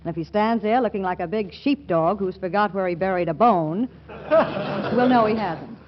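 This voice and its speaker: A woman's voice: medium pitch, moderate pace, lightly acted. A woman playing a mischievous, teasing wife with a scheme, in a 1940s radio comedy. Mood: playful scheming. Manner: animated, teasing. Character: mischievous, playfully scheming wife returned home. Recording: muffled noisy 1940s radio broadcast.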